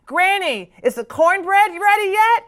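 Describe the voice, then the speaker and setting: A woman voicing an eager, earnest little girl in a Christmas storybook, with an excitable, childlike manner. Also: very high voice, measured pace, pitched above the performer's own voice, exaggerated voice.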